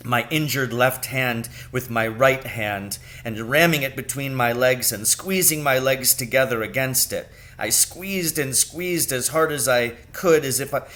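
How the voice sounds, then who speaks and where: A man reading a children's novel aloud as an expressive storybook narrator. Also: mid-range voice.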